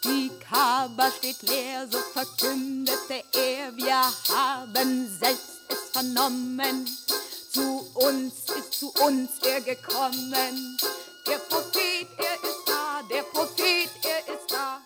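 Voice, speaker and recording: An actress playing a devout desert maiden in a radio play, chanting solemnly like an incantation. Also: female voice, high-pitched, little pitch movement, slow pace. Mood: reverent. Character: devout desert maiden proclaiming her prophet. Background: loud jingling music.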